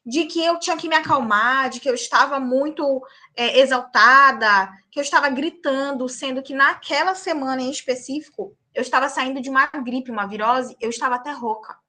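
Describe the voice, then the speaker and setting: A woman's voice: high voice, brisk, bright, forceful tone. A young woman, a law lecturer and practicing lawyer, giving a class in an emphatic way and checking in with her students after each point. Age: young adult.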